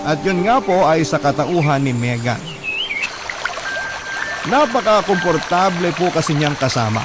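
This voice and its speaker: A man's voice: fairly high pitch, lively intonation, unhurried. A man narrating a love-story letter in an earnest, polite, confessional way, in the manner of a radio drama. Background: music.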